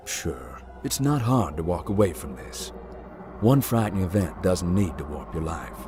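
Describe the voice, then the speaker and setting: A man's voice: low-pitched, animated, normal speed, music in the background. A man playing a stoic, guarded haunting expert in a video game, terse and matter-of-fact. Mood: stoic, cautioning.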